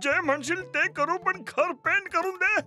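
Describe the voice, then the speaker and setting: A man voicing a bossy, excitable, grumbling fat man in a comedy cartoon dub. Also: very high-pitched, normal speed. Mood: bossy, eager. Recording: loud music behind the voice. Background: cartoon music.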